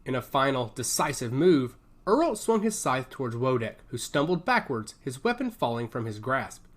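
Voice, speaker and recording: A male narrator reads a scripted action story in dramatic audiobook style. His voice sits at a medium pitch and moves with lively, varied intonation. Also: moderate pace.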